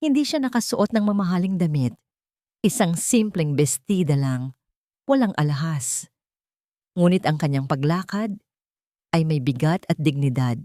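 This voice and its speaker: A woman's voice: sits low, lively intonation, moderate pace, pauses often, dark tone, dry recording, clean studio sound. A woman with a Filipino accent narrating a scripted dramatic story.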